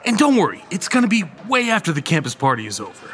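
A young man acting a goofy college stoner with showy salesman patter and theatrical, drawn-out emphasis. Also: sits high, wildly swooping pitch, fairly bright tone, faint music behind, heavily acted. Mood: excited, teasing. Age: young adult. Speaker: actor in audio drama. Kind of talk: theatrical dialogue in old time radio style audio play.

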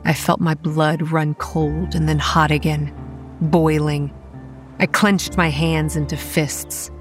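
A woman's voice: low-pitched, little pitch movement, slow pace, soft background music. A woman playing a guarded, hot-tempered young campground manager who narrates and speaks in a horror audio drama, earnest with a sardonic edge. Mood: angry, defiant. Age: young adult. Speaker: narrator and lead character.

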